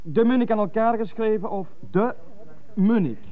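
An actor as a high-voiced brusque interrogator, male. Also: moderate pace, natural voice. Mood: taunting. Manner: curt, badgering. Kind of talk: radio drama acting. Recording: tinny phone-like radio recording.

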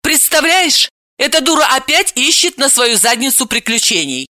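A woman's voice: high voice, big pitch swings, normal speed, bright, forceful tone, dry recording, clean studio sound, pitched above the performer's own voice, heavily acted. A woman voicing a hot-tempered, sarcastic, exasperated young woman in an audiobook, snappish and indignant. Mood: irritated. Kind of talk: audiobook character acting.

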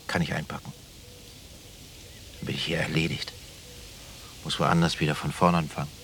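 Low-voiced, brooding small-town lawman. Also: little pitch movement, normal speed, gravelly, fairly bright tone, a little hiss or hum, full character voice. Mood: brooding, torn. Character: deliberate, conflicted small-town lawman, weighing his duty. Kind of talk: radio play dialogue.

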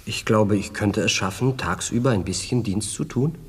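An actor playing a listless, absent-minded gentleman in a radio drama, hesitant and languid. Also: on the low side, normal speed, husky. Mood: languid reluctance. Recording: reverberant room.

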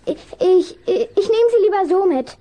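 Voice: very high-pitched, animated, measured pace, warm tone. A young performer playing a shy, evasive little village boy who speaks hesitantly in a children's radio play. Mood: nervous, evasive. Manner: hesitant, stammering repeats.

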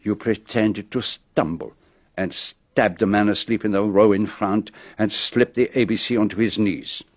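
An actor playing a brilliant, self-assured foreign detective with a French accent, explaining precisely and theatrically in a radio drama. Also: male voice, lowish voice, fairly even intonation, unhurried, no echo. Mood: methodical, accusing. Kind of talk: BBC radio drama acting.

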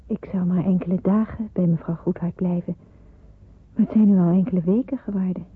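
An actress playing an earnest, devout young woman in wartime hiding in a radio drama, with a husky, breathy voice. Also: on the low side. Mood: reflective. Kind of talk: radio drama acting with narrated monologue. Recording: muffled, phone-like radio play recording.

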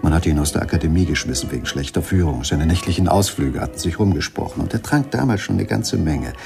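An actor playing a wry, unflappable jazz musician recalling rough nightclub days in a radio play, with dry storytelling. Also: male voice, low-pitched, lively intonation, quick pace, music in the background. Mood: reminiscing.

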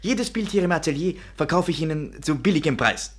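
An actor in a 1950s German radio play playing a vain, scheming young painter with grandiose, flowery speech. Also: male voice, a little high, lively intonation, fairly quick, a little hiss or hum, natural voice. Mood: eager, charming. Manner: grandiose, flowery, self-important. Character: vain, scheming young male French painter, theatrically charming.

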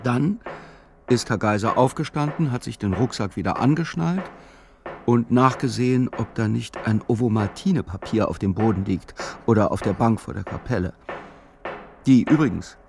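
A man narrating a literary radio play in a measured, sober, reflective way. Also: medium pitch, lively intonation, gravelly, noisy background.

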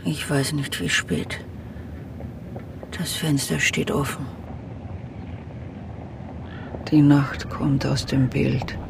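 An actress playing a dazed, detached woman who murmurs halting fragments in an experimental radio drama. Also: extremely low pitch, level tone, gravelly, noisy background, full character voice. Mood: hushed, detached. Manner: halting, hushed, fragmentary, repetitive. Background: loud music.

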